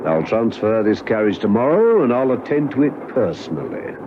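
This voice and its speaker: A railway villain in a radio spy play. He is wary and officious, with a lowish voice. The recording is dull and very noisy. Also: very animated, normal speed. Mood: confident. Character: wary, officious ticket-collector henchman in a spy plot. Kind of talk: classic radio drama acting. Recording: dull vintage radio recording, loud train noise.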